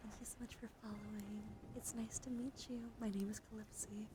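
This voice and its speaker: A woman hosting a casual ASMR livestream, talking softly and often dropping into whispers, in a rambling, easygoing way. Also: mid-range voice, flat delivery, a little fast. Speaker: livestream host. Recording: clearly audible background noise.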